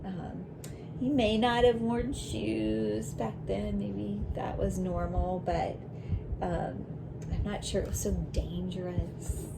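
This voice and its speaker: A woman reading a children's picture book aloud like a teacher, in a deliberate way, pausing to reflect and ask questions. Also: medium pitch, little pitch movement, gravelly, very noisy.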